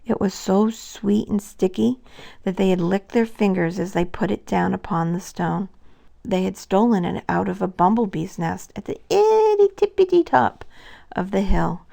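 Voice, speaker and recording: Woman, storybook reader, mid-pitched, gravelly creak, scripted narration for children. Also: warm tone.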